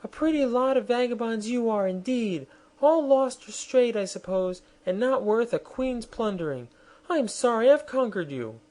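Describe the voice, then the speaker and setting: A man voicing a haughty, imperious young queen in a shrill, scornful voice. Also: expressive, moderate pace, darkish tone.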